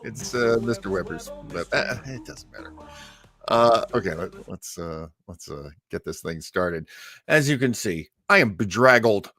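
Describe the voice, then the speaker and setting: A man's voice: lowish voice, expressive, unhurried, fairly bright tone, soft background music. A man hosting a casual livestream about old time radio, chatting in a rambling, jokey, self-deprecating way on a close microphone. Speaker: host of an old time radio show program.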